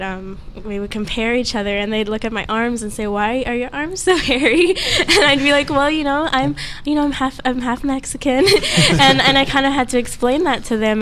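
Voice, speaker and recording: A woman's voice: medium pitch, a bit bright. A young woman on a student panel, talking openly and laughing often as she speaks. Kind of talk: casual panel discussion. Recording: faint background noise.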